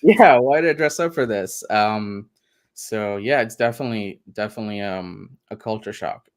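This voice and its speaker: A man's voice: on the low side, normal speed. A man from India, a guest in a radio interview, talking in a reflective, meandering way and laughing often. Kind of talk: casual interview conversation.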